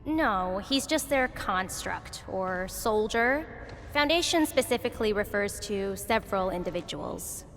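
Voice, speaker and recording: An actress voicing a calm, friendly, knowing female mentor in a video game, explaining in a composed, familiar way. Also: mid-range voice, unhurried. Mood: explaining, reflective. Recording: long reverb, music behind.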